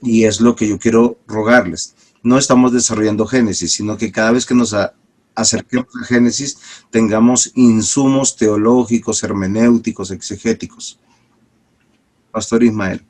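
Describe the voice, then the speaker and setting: A man teaching an online Bible class as a pastor, a little congested from a cold, who speaks deliberately with restarts and stretched-out words. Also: on the low side, fairly even intonation, darkish tone. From Peru. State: slight cold with a cough.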